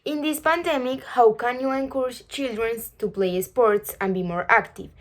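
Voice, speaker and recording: A woman's voice: a little high, moderate pace. A woman hosting an interview, reading introductions and questions from a script. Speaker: interview host.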